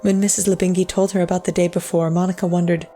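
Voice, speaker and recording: An actress playing a solemn storyteller, narrating a scripted dramatic tale in a measured, literary way. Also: female voice, on the low side, little pitch movement, warm tone, faint music behind, lightly acted. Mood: somber. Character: solemn female storyteller of a sad ghost tale.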